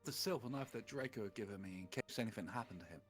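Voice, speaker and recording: A man's voice: on the low side, expressive, fast talker. A man doing a comedic dramatic reading of fan fiction on a livestream, theatrical and mock-serious. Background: faint music.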